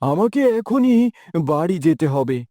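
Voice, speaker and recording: A man's voice: on the high side, very animated, a little slow, mellow, no room sound, studio quality. A man playing an honest, humble village farmer in a cartoon, earnest and exclamatory. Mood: amazed, musing. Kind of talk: cartoon dialogue.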